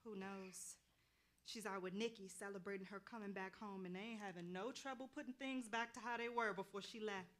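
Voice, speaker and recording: A woman's voice: medium pitch, fairly even intonation, fairly quick, fairly bright tone, lightly acted. A woman playing a commanding, devout folk healer with colloquial talk in a staged play reading. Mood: calm, intimate.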